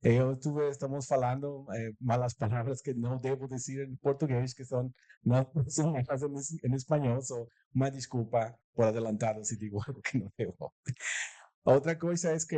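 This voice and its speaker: Mexican guy, spanish accent, mixing spanish into portuguese, dark mellow voice, laughing at himself. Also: mid-range voice, steady tone, normal speed, no room sound. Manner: self-deprecating, laughs often, mixes Spanish into Portuguese. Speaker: guest speaker. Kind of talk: live presentation at a corporate event.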